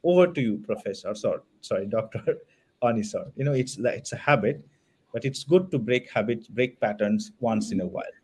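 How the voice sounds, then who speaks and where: A man's voice: medium pitch, animated, a little fast, a little mellow, slightly rough. A man hosting a session, politely introducing the next speaker and correcting himself lightly. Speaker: host, moderator.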